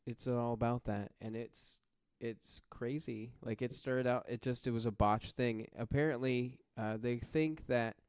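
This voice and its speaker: Clear-voiced man telling a story casually. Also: medium pitch, steady tone, a little slow, few pauses, a little mellow, dry recording, studio quality. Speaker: podcast host telling true crime stories.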